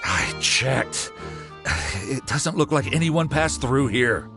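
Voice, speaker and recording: Earnest villager, unhurried, creaky, concerned, video game acting, music behind. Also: male voice, medium pitch, big pitch swings. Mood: serious.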